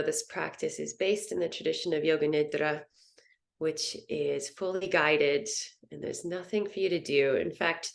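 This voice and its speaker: Gentle low-voiced woman guiding meditation. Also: gravelly. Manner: soothing, gentle, unhurried instruction. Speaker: meditation teacher. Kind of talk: guided yoga nidra meditation.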